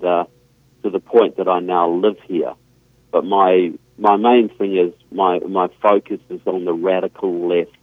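Kiwi guy on the phone, really low voice, explains things steady with ums, tinny line. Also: no echo. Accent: New Zealand. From New Zealand. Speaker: guest author.

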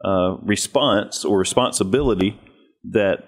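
Male co-host, low voice, hesitant, thoughtful. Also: measured pace. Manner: hesitant, many fillers, thoughtful.

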